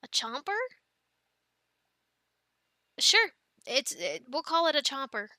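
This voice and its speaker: A woman playing an affectionate, playful young mother in an ASMR family roleplay, talking gently and haltingly to her children. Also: high-pitched, very animated, dry recording, studio quality, exaggerated voice. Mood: playful, bemused. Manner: gentle, halting, soft-spoken with playful bursts.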